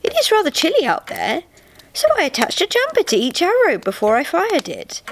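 A woman plays a sheepish, well-meaning male ghost of Christmas in a storybook tale. The voice is high and lilting, innocently reasoning, with faint noise behind it. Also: huge pitch swings, fairly quick, exaggerated voice. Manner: innocent, hesitant explaining. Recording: faint noise, live theatre reading.

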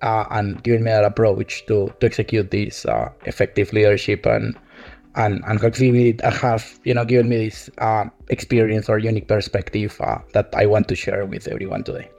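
A man's voice: lowish voice, fairly quick, gravelly, a little mellow. A man speaking as a panel guest, hesitant and often repeating words as he gathers his thoughts. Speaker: guest, software engineering manager. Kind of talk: casual panel discussion.

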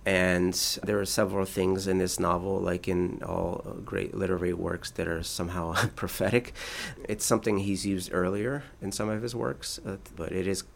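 A man's voice: sits low, little pitch movement, moderate pace, gravelly, a little hiss or hum. A man, a translator, answering interview questions thoughtfully, often restarting his sentences. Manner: thoughtful, with frequent restarts and fillers.

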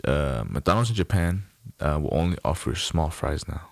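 A man's voice: very deep voice, animated, normal speed, gravelly, darkish tone, dry recording. A man co-hosting a casual podcast, telling stories in a laid-back, slangy way with easy laughs. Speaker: podcast co-host. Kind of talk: casual chat.

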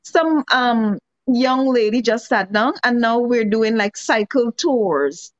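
An earnest woman making her points emphatically in a discussion, and now and then asking rhetorical questions. Her voice sits fairly high. The sound is dull and lo-fi with no room at all. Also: animated, slow, no echo. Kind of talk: casual discussion.

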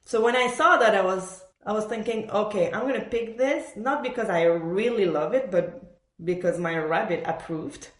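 Female interview guest, mid-pitched, from Montreal, conversational storyteller, roomy echoing sound. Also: moderate pace. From born in Argentina, raised in Montreal, Canada. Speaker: podcast guest, professional orchestral bassoonist. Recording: echoey room sound.

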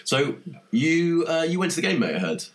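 A man hosting a casual podcast chat, sounding nasal from a cold and bantering in a relaxed, joking way. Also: on the high side, moderate pace. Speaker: podcast host.

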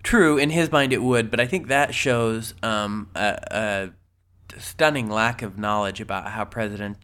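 A man's voice: lowish voice, a little slow, fairly bright tone, clear, resonant tone. Sniffly man chatting on a podcast. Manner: chatty, rambling with frequent ums and restarts. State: has a cold, sniffly. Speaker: podcast co-host. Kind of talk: casual podcast chat about news.

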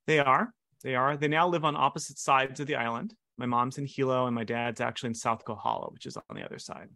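A middle-aged man from Hawaii talks easily as a guest in an interview, at a medium pitch. He pauses on fillers and restarts his sentences as he goes. The sound is close and dry, with no room around it. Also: vocal fry, no echo. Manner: relaxed, many fillers and restarts. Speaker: lawyer, guest. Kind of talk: casual interview answers.

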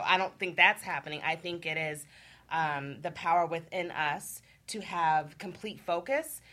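A woman's voice: low-pitched, pushed and bright. A woman on a talk show panel, speaking candidly and informally, thinking aloud as she goes.

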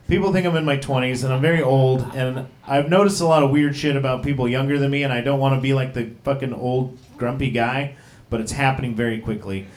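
A man's voice: medium pitch, steady tone, fast talker, a little mellow, echoey room. A man in his thirties doing a stand-up comedy set, rambling and profane, poking fun at himself. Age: 30s. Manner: profane, rambling, self-deprecating. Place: comedy club stage.

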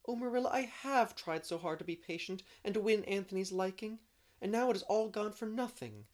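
A distraught young woman teacher, as an audiobook narrator puts her on, her voice lifted high and pleading between sobs. She pours out her remorse in a rush of feeling, quick and heightened, in a storybook reading. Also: expressive, a little mellow, lightly acted. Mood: distressed.

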